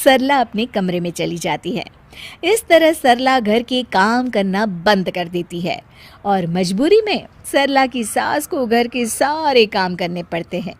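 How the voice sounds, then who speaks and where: A woman's voice: on the high side, animated, a little slow. A woman narrator reading a scripted story in a storytelling style.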